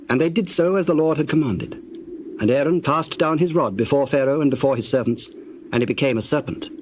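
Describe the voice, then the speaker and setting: A man narrating dramatized scripture in a measured, formal way. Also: mid-range voice, darkish tone, a little hiss or hum.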